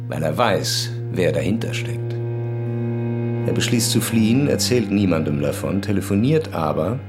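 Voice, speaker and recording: Grim commissaire, low pitch, deliberate formal delivery, crime audio drama, clear music underneath. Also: male voice, full character voice. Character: determined, grim male police commissaire, hard and methodical. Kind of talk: German crime radio drama, acted.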